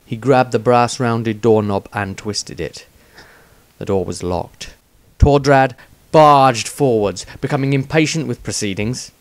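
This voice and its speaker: A man reading his own fantasy story as dramatic scripted narration, in a measured, suspenseful storytelling manner. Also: medium pitch, no echo.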